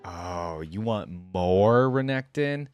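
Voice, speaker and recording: A man's voice: lowish voice, expressive, slow pace, clean tone. A man hosting a reaction video, giving casual commentary.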